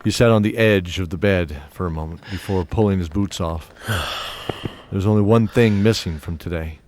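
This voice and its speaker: A man narrating a fantasy audio drama in a measured storytelling manner. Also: deep voice, darkish tone, slight background noise.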